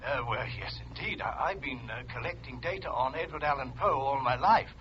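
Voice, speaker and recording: A man's voice: medium pitch, animated, fairly quick, close to an everyday voice. An actor playing a courteous male scholar with a formal, hesitant manner, in 1950s radio drama style. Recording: muffled, noisy old radio recording.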